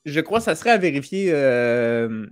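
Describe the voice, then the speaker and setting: A middle-aged man with a Québécois French accent, chatting casually as a panelist in a halting, circling way. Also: mid-range voice, very animated, clear, resonant tone, faint music behind. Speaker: podcast panelist. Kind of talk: casual chat.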